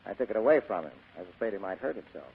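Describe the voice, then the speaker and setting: An actor playing a tough, wisecracking American private detective in old radio drama style, with clipped, insolent, slangy delivery. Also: male voice, on the low side, sing-song, rapid-fire, vocal fry, slightly rough, exaggerated voice. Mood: cool, sardonic. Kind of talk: 1940s-style radio acting. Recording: tinny, noisy old radio recording.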